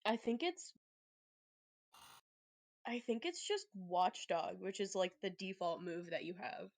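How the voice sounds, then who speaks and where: A woman's voice: mid-range voice, measured pace, gravelly, dry recording. A woman playing in a tabletop role-playing game, chatting in a playful, teasing way and laughing readily. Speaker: player. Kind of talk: casual tabletop game chat.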